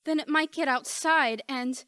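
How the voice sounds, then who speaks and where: A woman playing a brisk, wry, inquisitive newspaperwoman in an amateur radio play. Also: high-pitched, pushed and bright, no room sound, studio quality. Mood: curious, brisk.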